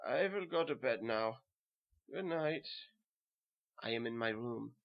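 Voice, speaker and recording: A man's voice: medium pitch, animated, pitched below the performer's own voice. Man acting a haunted German ex-soldier, dull recording. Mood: dazed, brooding. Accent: put-on German. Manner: halting, repetitive, brooding first-person delivery. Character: dazed, haunted male German ex-soldier and butcher's helper. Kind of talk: dramatic horror story reading.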